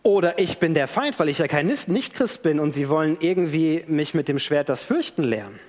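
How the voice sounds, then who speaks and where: Lively high-voiced man preaching in a reverberant hall. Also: fairly quick. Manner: animated storytelling with acted-out quotes. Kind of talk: sermon. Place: church hall. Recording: long church hall reverb.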